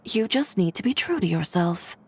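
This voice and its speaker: A woman's voice: fairly low pitch, animated, moderate pace, a little hiss or hum. A woman playing a dry, teasing, cool young woman in a video game, with a sardonic manner. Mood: wry. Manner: wry, sardonic.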